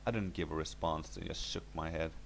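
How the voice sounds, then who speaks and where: Low-voiced man narrating a story. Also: steady tone, a little fast, creaky, a little hiss or hum. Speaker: narrator reading a horror story. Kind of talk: scripted first-person story narration.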